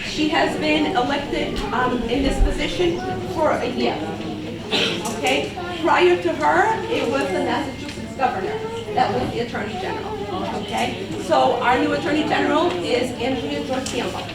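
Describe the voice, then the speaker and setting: A woman's voice: high-pitched, normal speed. A woman from Portugal, a community engagement coordinator, giving a patient workshop talk and often checking in with "okay?". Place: large public hall with an audience. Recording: echoey hall from far off, crowd noise.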